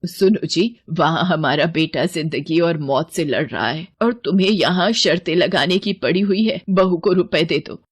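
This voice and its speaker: A woman with a husky voice voicing an anxious, protective mother in an animated story, pleading and reproachful. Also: low-pitched, moderate pace. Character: anxious middle-aged mother, loving and protective.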